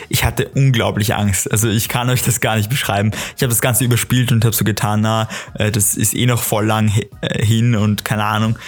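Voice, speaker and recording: A teenage man with an Austrian accent chats casually on a solo podcast, telling stories in an emphatic, rambling, excitable way, with music behind him. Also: mid-range voice. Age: teens, exchange student. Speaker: podcast host. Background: clearly audible music.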